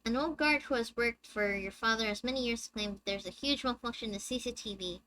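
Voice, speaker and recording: A young woman with a high-pitched, squeaky voice, chatting with her viewers on a live game stream and reading the story text aloud. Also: brisk, bright, forceful tone, slight background noise, low-quality recording. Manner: chatty, reads dialogue aloud and answers chat.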